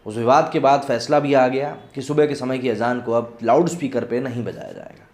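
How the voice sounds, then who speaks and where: A man's voice: medium pitch, fairly quick, darkish tone. A man presenting news with his own commentary, explaining things earnestly and directly to viewers. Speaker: host of a news commentary channel. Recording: echoey, reverberant room.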